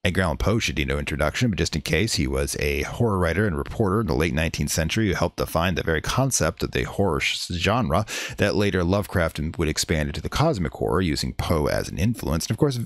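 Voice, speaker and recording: A man hosting a horror podcast, introducing in character with theatrical, drawn-out emphasis. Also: extremely low pitch, sing-song, no room sound. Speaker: podcast host.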